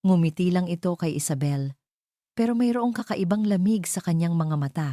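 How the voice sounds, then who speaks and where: A woman's voice: on the low side, lively intonation, normal speed, warm tone, dry recording, clean studio sound. A woman reading a scripted romance story as a dramatic narrator.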